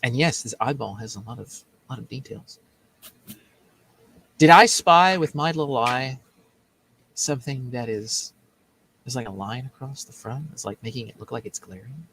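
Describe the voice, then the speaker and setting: A man's voice: medium pitch, moderate pace, pauses often, fairly bright tone, a little hiss or hum, poor audio. A man hosting a political commentary show in a casual, joking way, rambling and repeating himself as he mocks. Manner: rambling, repetitive, mocking.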